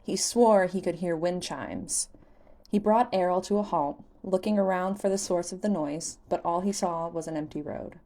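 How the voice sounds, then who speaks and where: A woman's voice: on the low side, normal speed, dark tone. Woman narrating a fan fiction audiobook.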